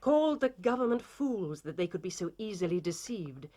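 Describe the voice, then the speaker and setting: A titled victorian lady, proud but distraught, intense formal speech, pitch moving all over. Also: sing-song, moderate pace, mellow. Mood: tense recounting. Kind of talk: period drama acting.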